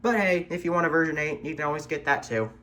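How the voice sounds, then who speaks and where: A man's voice: fairly high pitch, fast talker, pushed and bright. A man hosting a casual show-and-tell in his room at home, talking in an informal, earnest hobbyist way. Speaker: video host showing a weather radio collection.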